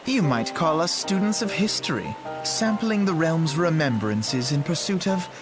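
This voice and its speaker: An actor playing a polite, precocious scholarly youth in a video game, speaking formally with courteous, slightly pompous self-assurance. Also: male voice, fairly high pitch, expressive, a little slow. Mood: courteous, self-assured. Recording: music playing behind the voice.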